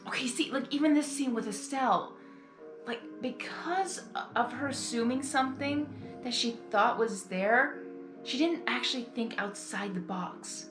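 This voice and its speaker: A woman's voice: a little high, measured pace. Roomy-sounding woman reacting casually. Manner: rambling, exclamatory, repeats phrases. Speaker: reaction video host. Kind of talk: casual emotional reaction commentary. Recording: roomy sound with faint music. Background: faint music.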